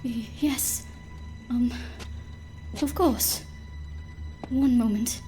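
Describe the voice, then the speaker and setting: A woman's voice: a little high, very slow, dark tone, soft background music. A woman playing a flustered, obsessive diner cook in an audio drama, stammering and frantic. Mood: nervous, evasive. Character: jittery, obsessive diner cook hiding a grisly secret.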